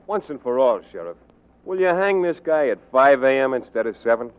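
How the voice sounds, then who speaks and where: Blunt animated pressman, played by a man. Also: medium pitch, brisk, clear, resonant tone, close to an everyday voice. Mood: pushy. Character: pushy, businesslike newspaper reporter, blunt and callous. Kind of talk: 1940s radio acting. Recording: tinny, narrow-band 1940s radio recording.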